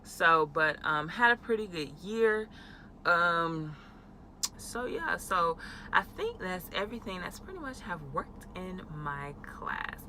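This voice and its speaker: A woman's voice: lowish voice, sing-song, slow, sharp tone, slight background noise. A young adult woman, a teacher, chatting casually in a vlog with frequent fillers and laughs. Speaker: elementary school teacher. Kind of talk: casual talking-head vlog.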